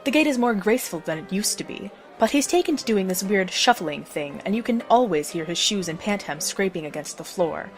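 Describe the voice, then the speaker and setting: Female narrator, mid-range pitch, scripted storytelling, addressing the listener as the main character, soft music bed. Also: lively intonation, fairly quick, hardly any pauses.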